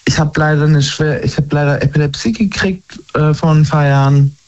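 A man in his twenties speaks as a guest in a personal conversation, pausing and restarting often. His voice sits a little high. The recording sounds dull and lo-fi. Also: a little mellow. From Germany. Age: 20s. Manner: hesitant, many fillers and restarts.

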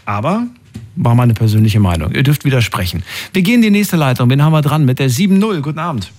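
A man's voice: medium pitch, very animated, fast, darkish tone. Upbeat male radio call-in host. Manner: upbeat, chatty, opinionated. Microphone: studio microphone.

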